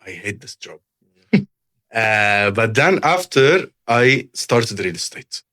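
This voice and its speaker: A man's voice: fairly low pitch, sing-song, slow pace, bright, forceful tone. A man in his thirties from Lebanon, a podcast guest in real estate sales, talking casually with repetitive, emphatic phrasing in English.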